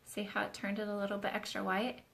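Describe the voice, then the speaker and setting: Bright-voiced woman teaching nail prep. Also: medium pitch, completely flat delivery, fast, gravelly. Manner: chatty, demonstrates while talking, addresses viewers as "you guys". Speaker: nail educator hosting a live Q&A. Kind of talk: casual live-stream teaching.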